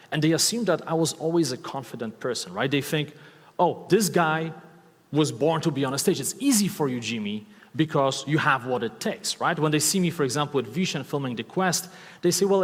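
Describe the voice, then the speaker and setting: A man's voice: a little high, husky. A man in his thirties with a Polish accent, giving an enthusiastic stage talk and often asking the audience questions. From Poland. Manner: enthusiastic, asks the audience questions, repeats key words. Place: large hall with live audience. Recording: long hall reverb from a stage talk.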